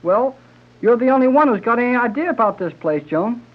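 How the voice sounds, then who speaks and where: A man's voice: very high-pitched, lively intonation, a little fast. An actor playing a brash, slangy American teenage boy adventurer in a 1930s radio serial, blunt and eager. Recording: muffled, narrow-band old radio recording.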